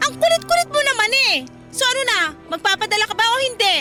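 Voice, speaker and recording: A woman's voice: very high-pitched, lively intonation, fast talker, pushed and bright, heavily acted. A woman playing a brash, manipulative young girlfriend in a radio drama, with a pushy, dramatic manner. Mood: angry, scornful. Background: faint background music.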